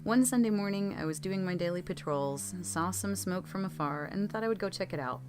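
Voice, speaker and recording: A woman's voice: on the low side, animated, a little fast. A woman reading scripted true scary stories as a storytelling narrator.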